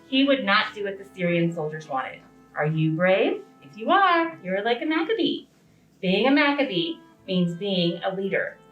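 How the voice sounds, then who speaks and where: Animated woman reading to children, roomy and distant. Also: medium pitch, normal speed. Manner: teacherly, asks simple questions. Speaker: preschool educator reading to children. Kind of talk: interactive read-aloud to young children. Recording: distant, roomy, slightly dull, faint music.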